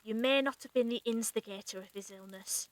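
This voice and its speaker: A woman's voice: on the high side, fairly quick, no room sound, natural voice, low-quality recording. A woman acting a stern, clinical medic with formal, precise speech in an audio drama. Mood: stern, cold.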